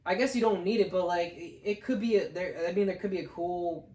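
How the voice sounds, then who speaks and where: Fast-talking man in an echoey room. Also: high voice, a little mellow. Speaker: film reviewer, co-host. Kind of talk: casual conversational movie review. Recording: echoey room, distant microphone.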